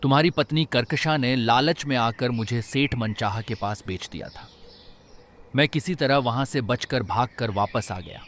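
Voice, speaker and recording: A man voicing a calm, stern talking rabbit who is a reborn saint, in a solemn, measured way for a Hindi cartoon. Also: medium pitch, a little hiss or hum, natural voice. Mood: earnest. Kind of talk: Hindi animated moral story dialogue.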